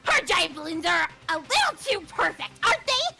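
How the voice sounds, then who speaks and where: Shrill, manic killer girl. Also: sing-song, normal speed, creaky, exaggerated voice. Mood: manic menace. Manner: manic, taunting. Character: unhinged, cackling teenage girl serial killer.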